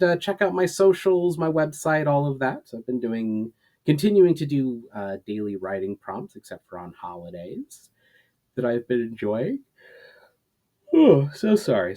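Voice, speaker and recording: A man's voice: mid-range voice, dark tone. A man who hosts a storytime channel, speaking as an author presenting and narrating his own story. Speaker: author reading own book, channel host. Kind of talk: dramatic story narration and casual sign-off.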